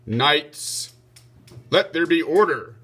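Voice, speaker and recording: Man acting a bright-voiced, commanding king. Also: on the high side, lively intonation, slow, slightly rough, full character voice. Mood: measured authority. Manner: declamatory, formal. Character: commanding king, stately leader of knights. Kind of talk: storybook read-aloud.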